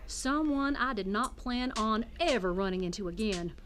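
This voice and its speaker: A woman acting a brash, sharp-tongued, worldly hotel guest in an audio drama. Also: on the high side, expressive, normal speed, a little hiss or hum. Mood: hushed alarm.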